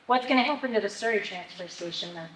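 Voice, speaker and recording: A woman's voice: mid-range voice, fast, fairly bright tone. Woman pressing pointed questions. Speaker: resident questioning at public meeting. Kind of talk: public meeting questions. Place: public meeting hall. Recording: echoey meeting hall, faint noise.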